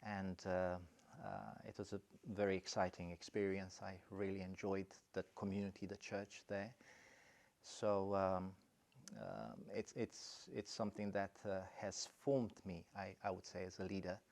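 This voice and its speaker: A middle-aged man from Romania speaks in a low voice, thinking aloud as he answers. He pauses often and restarts his sentences, with plenty of fillers along the way. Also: level tone, vocal fry, a little mellow. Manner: thoughtful, halting, many fillers and restarts. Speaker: college principal. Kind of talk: interview answers.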